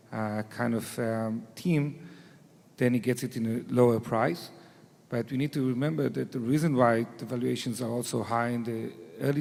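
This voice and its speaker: Gravelly Israeli man on a panel. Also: medium pitch, darkish tone. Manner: hesitant, many fillers. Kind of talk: panel discussion. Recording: long reverb of a hall.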